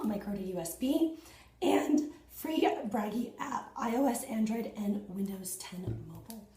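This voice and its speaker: A woman in her thirties, hoarse and husky after losing her voice, chatting excitedly to viewers in a casual unboxing vlog at home. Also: medium pitch, reverberant. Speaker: fitness vlogger. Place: small indoor room at home.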